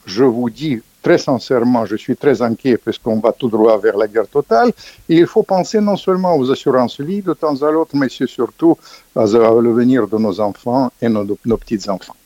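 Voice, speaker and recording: An older man being interviewed as an author, speaking emphatically with many restarts and sharp pitch rises on key points. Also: lively intonation, normal speed, no room sound, lo-fi sound. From Ukrainian background. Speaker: author guest. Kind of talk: interview, political commentary.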